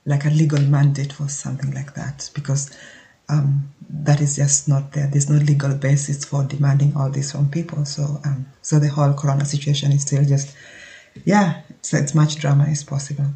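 A man with a Kenyan accent explaining things informally as a solo podcast host, haltingly, as if thinking aloud. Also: fairly high pitch, gravelly, warm tone.

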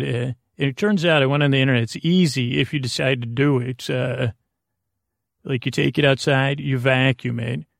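A middle-aged American man hosting a sleep podcast with a rambling, halting, self-deprecating bedtime monologue. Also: mid-range voice, gravelly, dry recording, clean studio sound.

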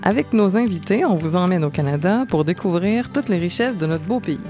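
A woman from Montreal with a Québécois accent, co-hosting a travel podcast in a lively, folksy way. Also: lowish voice, a little fast, soft background music. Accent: Québécois French. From Montreal, Quebec. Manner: lively, folksy Quebec expressions. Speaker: podcast co-host. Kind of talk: scripted travel podcast talk.

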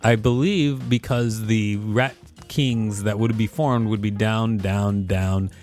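A man's voice: on the low side, fairly even intonation, slow, a little mellow, soft background music. A man co-host reading an encyclopedia article aloud, with casual asides here and there.

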